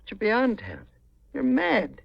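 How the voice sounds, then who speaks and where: A grave, God-fearing older man in an old radio play, admonishing with wide swings of pitch. The sound is dry and muffled, like a vintage broadcast. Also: huge pitch swings, slow pace, vocal fry, dry recording, exaggerated voice. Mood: stern, accusing. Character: stern, God-fearing older man, disapproving family friend. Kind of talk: 1950s radio mystery acting.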